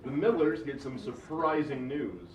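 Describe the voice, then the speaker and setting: A man's voice: medium pitch, animated, a little slow, lightly acted. A man playing a matter-of-fact narrator in a comedy script table read. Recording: echoey, distant room recording with faint noise.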